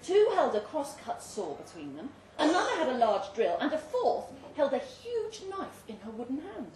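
A woman giving an expressive, theatrical reading of a suspenseful short story at a live indoor event. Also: high voice, moderate pace. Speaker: story reader. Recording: roomy live venue, distant mic, faint noise.

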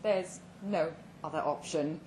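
A woman voicing a polite, resigned man in his fifties in a dramatic short story, speaking slowly and deliberately. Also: on the high side, a little mellow. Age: 50s. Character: polite, resigned middle-aged man facing death. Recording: roomy live venue with background noise.

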